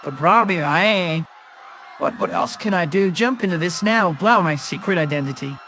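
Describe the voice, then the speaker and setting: Male actor as young superhero, high voice, earnest, anxious but resolute, comic dub, faint background noise. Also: lively intonation, moderate pace, sharp tone. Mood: alarmed. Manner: earnest, narrating inner thoughts.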